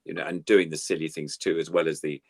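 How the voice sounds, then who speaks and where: A man's voice: very deep voice, steady tone, fast, dry recording, clean studio sound. An older man chatting casually and reminiscing as a guest puppeteer, in a rambling, enthusiastic way. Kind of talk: casual chat, reminiscing about old TV puppetry.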